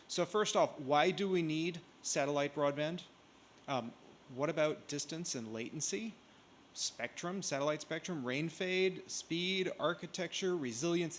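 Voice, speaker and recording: A man's voice: medium pitch, a little hiss or hum. A man, giving a technical conference presentation from a lectern in a large hall, explaining plainly with frequent ums. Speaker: conference speaker. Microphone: lectern mic.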